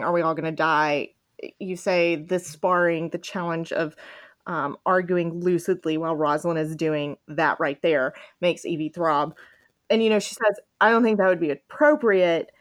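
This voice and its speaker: A woman hosting an informal podcast chat about fan fiction, speaking appreciatively and quoting bits as she goes. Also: fairly low pitch. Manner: informal, appreciative, reads out quotes. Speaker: podcast host.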